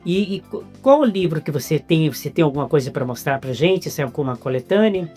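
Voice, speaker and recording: A Brazilian-accented man hosting a casual interview, halting and repeating words as he searches for them. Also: on the high side, animated, mellow, soft background music. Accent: Brazilian Portuguese. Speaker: interviewer host.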